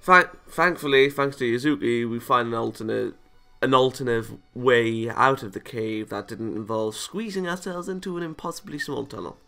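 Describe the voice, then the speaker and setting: Bright, creaky-voiced man reading a game aloud. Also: mid-range voice, moderate pace, faint music behind. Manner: stumbles over lines and reacts playfully. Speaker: video game let's player. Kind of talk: reading a visual novel aloud with casual asides.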